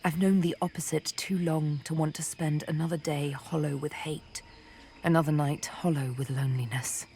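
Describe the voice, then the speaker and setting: An actress voicing a vengeful, seductive elf assassin in a video game, deliberate and teasing, often murmuring. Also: female voice, sits low, steady tone, warm tone, slight background noise. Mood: tender, grateful.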